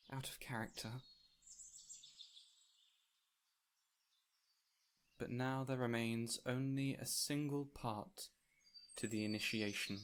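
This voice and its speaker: A man playing a gentle, whimsical fey spirit in an audio roleplay, speaking in a theatrical, formal, old-fashioned way straight to the listener. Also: medium pitch, close to an everyday voice. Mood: wistful, sorrowful. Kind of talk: intimate audio roleplay, addressing the listener directly. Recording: clear ambient noise with birdsong. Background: birdsong and forest ambience.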